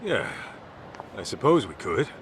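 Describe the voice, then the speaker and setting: A man playing an easygoing, gruff middle-aged private detective in a video game, talking in a casual way. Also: fairly low pitch, very animated, a little slow, fairly bright tone, a little hiss or hum, full character voice. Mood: hesitant, reflective. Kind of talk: video game cutscene acting.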